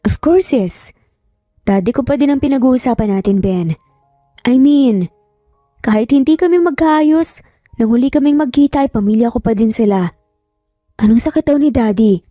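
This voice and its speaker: A woman's voice: fairly high pitch, normal speed, pauses often, mellow, clean studio sound, lightly acted. A woman with a Filipino accent voicing an earnest, emotional young mother in an audio romance story. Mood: firm, worried. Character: earnest young mother, worried grateful daughter. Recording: roomy echo, faint music behind.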